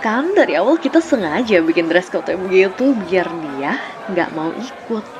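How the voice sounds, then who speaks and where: A woman's voice: on the low side, sing-song, normal speed. A woman playing a catty, mocking young frenemy gossiping in an audio roleplay. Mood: snide, mocking. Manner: mocking, snide. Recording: muffled, noisy chatter behind.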